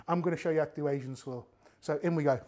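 A man, his voice sitting a little high for a man. He chats easily while chopping and grating in a home kitchen, talking straight to the viewers. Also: very fast. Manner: chatty, relaxed, talks while working with his hands. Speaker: cooking show host. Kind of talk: casual cooking demonstration to camera.

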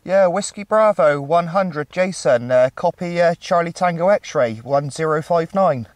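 A man chatting casually as a CB radio operator, with a halting delivery, recorded outdoors. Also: on the high side, no echo. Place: outdoors on a mountain top.

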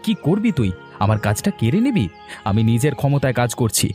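Light music sits behind a man playing a young village son in a cartoon fable. His voice is mid-pitched and soft-edged in tone, yet the manner is pushy, resentful and scheming. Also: sing-song, moderate pace, close to an everyday voice. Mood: angry, defiant. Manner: argumentative, pushy. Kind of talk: cartoon moral-story acting.

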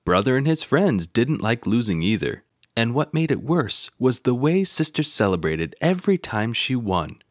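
A man narrating a children's picture book aloud in an expressive storytelling manner. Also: mid-range voice, normal speed, no echo, clean studio sound.

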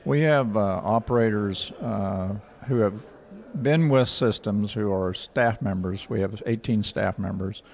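An older man, answering interview questions in a measured, factual way with frequent pauses. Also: fairly low pitch, gravelly, a little mellow. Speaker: rural water association director. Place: indoor office in front of a painting. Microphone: handheld station mic.

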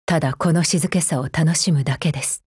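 A woman's voice: low-pitched, brisk, darkish tone, breathy edge, no echo, clean studio sound. A woman playing a cold, efficiency-minded female combat android in a mobile game, speaking formally in a clipped, stern, unemotional way. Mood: cool, composed. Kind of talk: scripted mobile game character voice lines.

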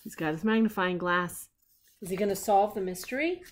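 A woman's voice: mid-range voice, fairly quick, slight background noise. A middle-aged woman reading a picture book aloud to children at home, with casual, questioning asides. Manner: animated, questioning, child-directed. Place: living room at home.

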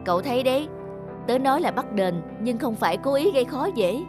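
A woman's voice: sits high, lively intonation, fairly quick, over music. A woman voicing a stern but kind-hearted young businessman in a cartoon, speaking in a firm, lecturing way. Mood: explaining. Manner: firm, lecturing, matter-of-fact. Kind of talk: cartoon voice acting.

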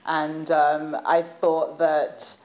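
A woman, a guest in a studio interview, telling stories in an animated, chatty way and laughing at times. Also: low-pitched, very slow, rough recording. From United Kingdom. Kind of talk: casual interview chat.

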